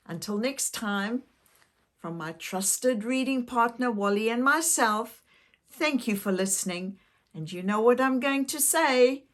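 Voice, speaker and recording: Slow-paced woman reading to children. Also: medium pitch. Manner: expressive, addresses young listeners with questions. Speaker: children's story reader, channel host. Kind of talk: animated read-aloud storytelling for young children.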